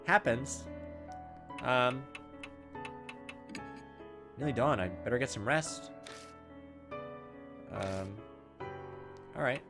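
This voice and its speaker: A man's voice: medium pitch, very slow, pauses often. A man hosting a gaming video, reading on-screen text aloud and adding flippant commentary. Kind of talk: let's-play commentary mixed with reading game text aloud. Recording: game music behind the voice. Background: video game music.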